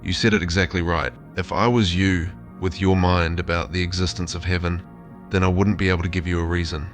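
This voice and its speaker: Male actor as therapist chatbot claiming to be human: deep, formal, matter-of-fact, music underneath. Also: level tone, brisk, close to an everyday voice. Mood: flat assurance. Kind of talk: reading out chatbot messages.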